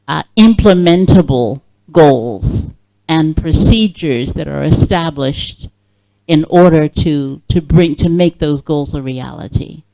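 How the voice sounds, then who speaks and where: A woman who moved to Ghana asking a panel a question from the floor, deliberate and articulate. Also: low voice, dark tone, no room sound, rough recording. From foreign-born, now a Ghanaian citizen. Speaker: audience member asking a question.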